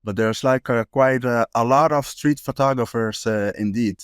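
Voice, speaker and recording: A man's voice: mid-range voice, normal speed, clear, resonant tone, dry recording, clean studio sound. A young man from the Netherlands, a street photographer talking casually as a guest, with many fillers and restarts. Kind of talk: casual interview chat.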